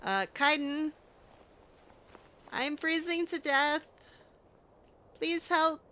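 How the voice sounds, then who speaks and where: A woman's voice: high voice, steady tone, extremely slow, pushed and bright. A woman doing in-character improvised narration of a video game, musing aloud to herself as she goes. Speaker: let's play host roleplaying her character.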